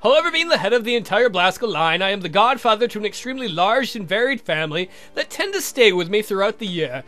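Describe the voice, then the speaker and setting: A man's voice: high-pitched, sing-song, fast talker, sharp tone, faint music behind, full character voice. A man voicing a courtly, lovestruck vampire count from a visual novel game, speaking formally and earnestly. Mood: earnest confessing. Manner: formal, verbose, confessing.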